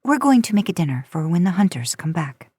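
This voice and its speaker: A woman voicing a kind, firm woman who gently instructs in a story reading. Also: on the low side, very animated, a little fast, slightly rough, no room sound. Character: kind, firm Spanish-speaking older woman helping in kitchen.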